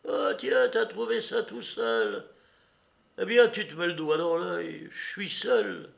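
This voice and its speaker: A brazen fairground woman from a novel, as a man acts her out. The pitch is lifted well up and the delivery is slangy, exclamatory and full of mockery. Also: expressive, takes it slowly, gravelly, a bit bright, exaggerated voice. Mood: mocking, defiant.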